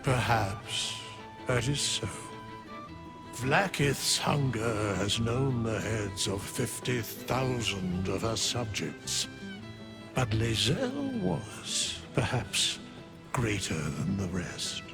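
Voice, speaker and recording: An actor playing an ancient, solemn undead sage in a video game, making grave, archaic, measured pronouncements. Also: male voice, lowish voice, sing-song, vocal fry, music in the background, heavily acted. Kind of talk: video game character acting.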